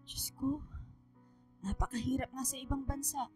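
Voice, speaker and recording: Woman acting an emotional high-voiced Filipino mother. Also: steady tone, normal speed, darkish tone, faint music behind, natural voice. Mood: shocked, concerned. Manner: emotional, pleading, admonishing. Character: middle-aged mother, forgiving of husband, scolding her daughter. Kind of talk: radio drama acting.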